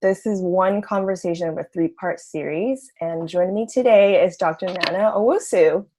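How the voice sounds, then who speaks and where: Woman, lower voice, interview host, encouraging, lots of mm-hmms. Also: moderate pace, mellow. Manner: encouraging, many short backchannels.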